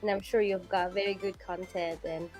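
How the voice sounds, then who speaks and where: A woman's voice: fairly low pitch, little pitch movement, normal speed, a little mellow, soft background music. A woman from the Philippines, a polite guest on an online chat show who mixes in Tagalog words. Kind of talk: casual online interview.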